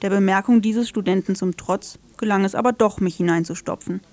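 A woman reading a scripted literary radio feature in a measured, storytelling way. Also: mid-range voice, darkish tone. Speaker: radio presenter.

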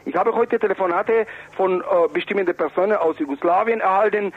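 A man with a high, raspy voice makes an insistent political appeal as a non-native speaker from the former Yugoslavia. He circles back to his points with pleading emphasis. The sound is thin and phone-like. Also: fast, no room sound. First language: not German. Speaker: Roma activist and interviewee. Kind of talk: impassioned political radio interview. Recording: dull, narrow phone-like radio sound.

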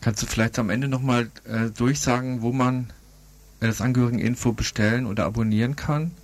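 A man's voice: medium pitch, steady tone, a little fast, a little mellow. A man conducting a radio interview, asking questions in an informal, slightly hesitant way.

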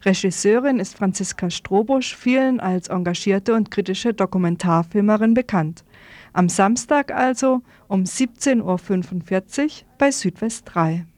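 A woman's voice: mid-range voice, a little slow, a little mellow, no echo. A woman presenting on radio, reading scripted history and event announcements.

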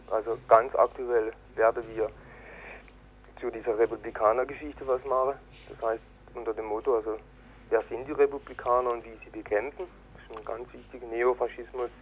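A man interviewed as an activist, explaining earnestly and haltingly over a noisy, tinny phone-like line. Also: mid-range voice, fairly even intonation. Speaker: political activist being interviewed. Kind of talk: spontaneous spoken interview.

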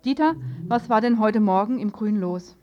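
A woman's voice: medium pitch, moderate pace. A woman presenting news live from a radio studio. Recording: dull lo-fi 1980s radio tape.

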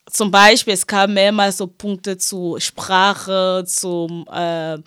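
Female interviewee, mid-pitched, explanatory and self-rephrasing, recorded very dry. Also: no room sound. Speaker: interviewee, radio editorial team member. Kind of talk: spontaneous spoken interview answers.

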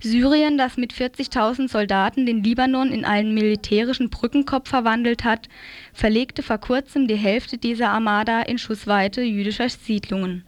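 A woman's voice: a little high, steady tone, normal speed, dry recording. A woman reading a scripted political commentary aloud as a radio presenter.

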